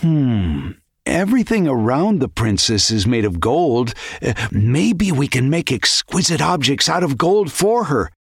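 Man as a loyal, expressive royal servant. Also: mid-range voice, unhurried, husky, no echo, studio quality, heavily acted. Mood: helpful, persuasive. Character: loyal, respectful, cautious male royal servant. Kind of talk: children's audio story acting.

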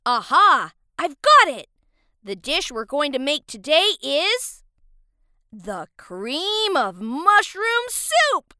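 A woman's voice: high voice, sing-song, takes it slowly, bright, forceful tone, no room sound, clean studio sound, heavily acted. A woman voicing a spirited, bossy talking vegetable with exaggerated, exclamatory delivery in a children's audio story. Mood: eager.

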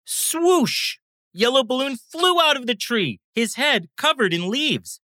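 A woman reading a children's bedtime story as a scripted, animated narrator, acting out the sound words. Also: high voice, slow, no room sound, clean studio sound.

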